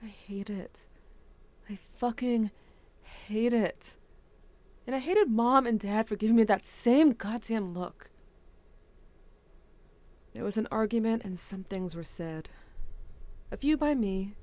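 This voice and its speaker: A woman's voice: mid-range voice, measured pace, pauses often, darkish tone, lightly acted. A woman voicing a grieving teenage boy who writes to his dead brother, wry and bitter, with a subdued tone. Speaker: narrator. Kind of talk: audio drama monologue.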